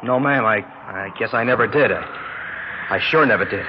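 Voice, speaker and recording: An actor playing a polite young drifter in a 1960s radio drama, with an American accent and a hesitant, earnest, stammering manner. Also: male voice, lowish voice, normal speed, lightly acted. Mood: nervous, polite. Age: young adult. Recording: dull, noisy 1960s radio recording.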